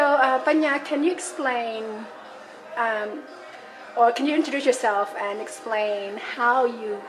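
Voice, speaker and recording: Woman interviewer with a fairly high voice, friendly and casual, faint music in the background. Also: a bit bright, rough recording. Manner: friendly, frequent backchannel murmurs.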